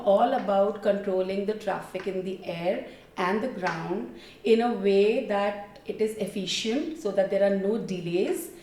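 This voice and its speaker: A woman's voice: medium pitch, a little mellow. A middle-aged woman with an Indian accent giving explanatory, conversational interview answers, peppered with "you know" asides. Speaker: interview guest, former military air traffic controller. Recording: roomy echo, distant microphone.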